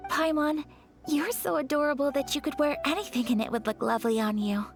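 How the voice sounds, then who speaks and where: Refined, high-voiced young noblewoman. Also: fairly even intonation, music in the background. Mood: earnest. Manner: formal, polite, measured phrasing. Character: refined, gentle young noblewoman, polite and earnest. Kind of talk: video game voice acting.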